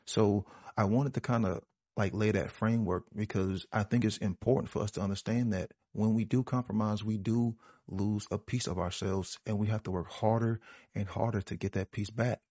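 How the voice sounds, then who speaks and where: Male speaker, husky, earnest spiritual talk with emphatic repetition; roomy sound. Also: fairly low pitch, fairly even intonation, normal speed, mellow. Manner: earnest, preachy, repeats phrases for emphasis. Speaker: panel speaker. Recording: roomy, dull lo-fi audio.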